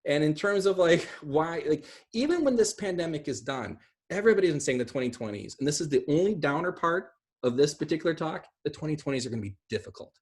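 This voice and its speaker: A man's voice: a little high, lively intonation, fast talker, husky. A middle-aged man giving a conversational online talk from a room at home, rambling into asides. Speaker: guest speaker. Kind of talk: conversational online talk on film and pop culture. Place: small room at home, indoors. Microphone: video call, computer at arm's length.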